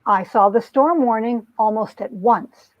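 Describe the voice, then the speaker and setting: A woman's voice: fairly high pitch, a little slow, no room sound, natural voice. A woman playing an earnest young heroine telling her troubled story in a theatrical, emotive romance-comic reading. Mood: wistful, ominous. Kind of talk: dramatic comic-book reading, melodramatic first-person narration. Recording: dull, lo-fi recording.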